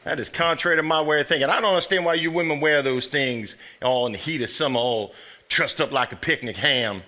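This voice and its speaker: Very bright, blunt, nagging man. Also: fairly high pitch, fairly quick. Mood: grumbling, folksy. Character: blunt, nagging, indignant brother in a 1950s family. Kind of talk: live stage acting.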